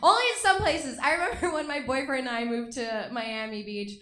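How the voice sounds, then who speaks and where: Young woman doing stand-up in a club, high bright voice, giggly and self-deprecating, echoey room. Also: brisk. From Russia. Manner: bubbly, self-mocking, laughs between jokes. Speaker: stand-up comedian. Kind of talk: stand-up comedy set. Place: comedy club stage.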